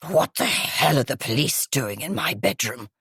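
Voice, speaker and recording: A woman voicing a groggy older woman with a croaky, gravelly, smoky voice. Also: extremely low pitch, animated, moderate pace, a bit bright, dry recording, voice pushed down, full character voice.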